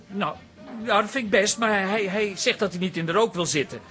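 An actor playing a nervy, reluctant smoker with a Dutch accent, flustered and repeating himself in a radio comedy drama. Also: male voice, high voice, a little fast, fairly bright tone, soft background music. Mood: evasive. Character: Mani, nervy, reluctant chain-smoking younger man.

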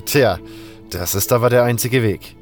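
A man voicing a calm, reserved armorer and hunter in a fantasy audio drama, unhurried and matter-of-fact, with music behind him. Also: medium pitch, lightly acted. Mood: wry. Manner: unhurried, matter-of-fact, talkative once engaged.

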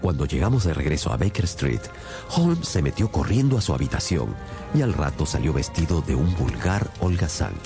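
The detective's companion telling the tale in a radio drama. His voice is extremely low and animated, composed yet dramatic, with faint music underneath. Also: normal speed, full character voice. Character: detective's loyal companion recounting the case. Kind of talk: scripted storytelling narration in radio drama.

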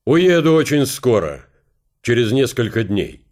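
An actor playing a gloomy old field marshal, dry, curt and ironic. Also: male voice, medium pitch, big pitch swings, measured pace, a little mellow.

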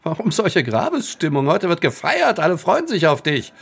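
Animated, breezy man coaxing. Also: a little high, moderate pace, gravelly, husky, heavily acted. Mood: cheerful, coaxing. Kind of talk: radio play acting.

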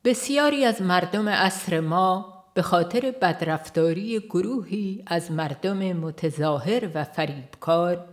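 A woman reading a devotional book aloud in a slow, earnest, reflective way. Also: fairly low pitch. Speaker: audiobook reader. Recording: faint instrumental music behind.

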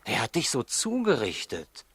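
An actor playing a wry, inquisitive traveling stranger in a 1960s radio play, with a coaxing, questioning manner. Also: male voice, medium pitch, huge pitch swings, moderate pace, fairly bright tone, slightly rough, heavily acted. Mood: coaxing, reassuring.

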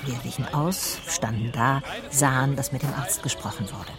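An actress playing a curious, persistent private detective in a German radio crime drama. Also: female voice, extremely low pitch, normal speed, music in the background. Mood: sober, curious. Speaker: narrator and lead actress. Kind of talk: German radio crime drama, first-person narration and dialogue.

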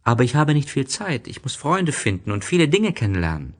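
Boy prince, played by a male narrator: innocent, earnest, higher-pitched, lively storybook delivery. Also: a little fast, close to an everyday voice. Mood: hurried, earnest.

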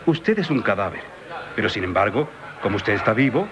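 Low-voiced, inquisitive tavern philosopher. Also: male voice, sing-song, brisk, background noise. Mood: intrigued. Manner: musing, inquisitive. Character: curious, philosophizing tavern confidant, scornful of intruders. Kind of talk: 1970s radio drama acting. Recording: 1978 radio broadcast with music behind.

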